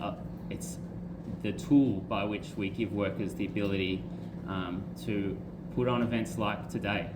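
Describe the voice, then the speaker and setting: Man, rally speaker, halting and full of ums, lowish voice, echoey outdoor recording. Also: very noisy. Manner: halting, many ums and restarts. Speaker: rally speaker, aid worker. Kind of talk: public speech at a campus rally.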